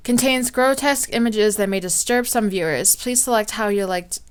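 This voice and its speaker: A woman's voice: mid-range voice, normal speed, clean tone. A young woman doing a casual let's play, chatting in slang and reading visual novel text aloud with small stumbles. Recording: loud music behind the voice.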